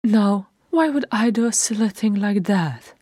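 A narrator voicing a gentle, fussy male hobbit in an audiobook, with a wry, politely teasing manner. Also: medium pitch, huge pitch swings, a little slow, dry recording, clean studio sound, voice pushed up. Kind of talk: fan fiction audiobook character acting.

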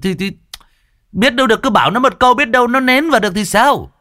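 A man voicing a gruff, comic older village neighbour who grumbles bluntly and crudely in an audio story. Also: very high-pitched, fast, pushed and bright, no room sound, studio quality, pitched above the performer's own voice. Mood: comic stubbornness.

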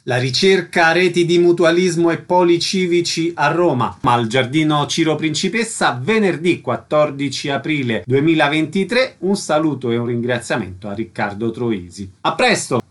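A man's voice: on the high side, lively intonation, normal speed, few pauses. A man hosting a radio interview, presenting guests and events and asking short questions.

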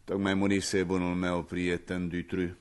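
An actor playing an anxious older academician in a radio play, confiding and justifying himself in a theatrical, worried manner. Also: male voice, deep voice, monotone, clear, resonant tone, lightly acted. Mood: confiding, weary.

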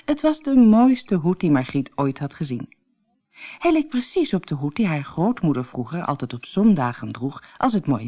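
A woman reading a scripted children's story as an expressive storybook narrator. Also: sits low. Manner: expressive, acts out dialogue.

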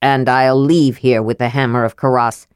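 A woman voicing a grumpy old male dwarf warrior in a fantasy audiobook, with a gruff and dour manner. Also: rumbling low, flat delivery, moderate pace, no room sound, clean studio sound, voice pushed down.

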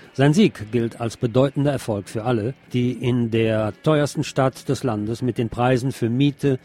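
A man's voice: mid-range voice, darkish tone. Male news presenter for scripted bulletins, mid-range voice.

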